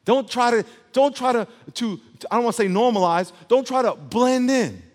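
A man's voice: very high-pitched, lively intonation, normal speed. A male pastor preaching a sermon emphatically, calling on the congregation to repeat words back to him. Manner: emphatic preaching with call-and-response. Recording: long hall reverb.

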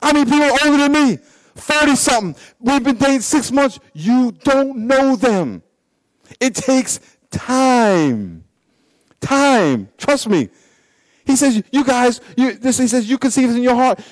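High-voiced joking preacher man in his fifties. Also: big pitch swings, a little slow, dry recording, poor audio. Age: 50s. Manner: blunt, joking preacher with swinging pitch and sudden pace shifts. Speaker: pastor. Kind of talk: sermon.

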